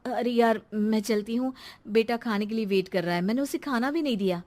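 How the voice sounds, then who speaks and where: A woman's voice: a little high, brisk, darkish tone, close to an everyday voice. A woman voicing a meek, put-upon middle-aged housewife and mother in a cartoon story, apologetic and hesitant. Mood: flustered.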